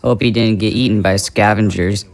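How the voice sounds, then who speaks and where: An actor playing a lazy, sarcastic teenage brother glued to the TV in an audio drama. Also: male voice, lowish voice, fairly even intonation, normal speed, creaky. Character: lazy couch-potato teenage brother Nick.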